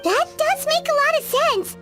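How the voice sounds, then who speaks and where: A gentle little creature nurse with a tiny, very high voice. She speaks sweetly and earnestly in game dialogue. Faint music sits under the voice. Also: animated, moderate pace, heavily acted. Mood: eager. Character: small childlike female creature nurse, gentle. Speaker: game character. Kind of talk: video game voice acting.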